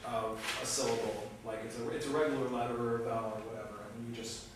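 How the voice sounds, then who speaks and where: A man's voice: mid-range voice, level tone, fast talker. Man, instructor, informal, inquisitive, distant room mic, reverberant classroom. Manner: informal, self-deprecating, asks many questions. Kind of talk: leading a classroom discussion. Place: lecture classroom. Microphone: far room mic. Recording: echoey classroom from far off, noisy.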